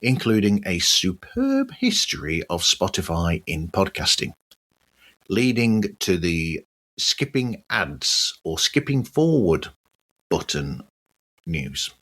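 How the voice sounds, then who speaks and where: A man hosting a podcast, reading a scripted news roundup in a measured, deliberate way. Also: deep voice, huge pitch swings, fairly bright tone. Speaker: podcast host.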